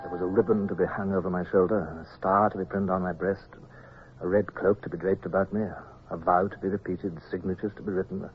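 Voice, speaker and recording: An earnest, anxious sea captain played by a man tells his tale in a low voice, dramatic and introspective. It is an old radio play with thin, phone-like sound and faint noise. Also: steady tone, brisk, natural voice. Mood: dazed. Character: earnest, anxious male naval captain telling his story. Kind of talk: first-person narration, old-time radio acting.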